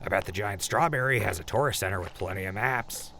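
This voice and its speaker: A man's voice: on the low side, fast, gravelly, a bit bright. A man playing a practical, good-humored wasteland traveler in an audio drama. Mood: upbeat. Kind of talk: post-apocalyptic audio drama acting.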